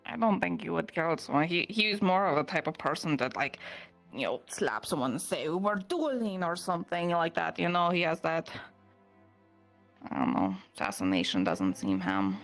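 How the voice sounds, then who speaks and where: A woman's voice: deep voice, expressive, normal speed, gravelly, soft background music. A woman playing an earnest, naive young woman in improvised fantasy roleplay, talking hesitantly and informally, often trailing off. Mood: pensive.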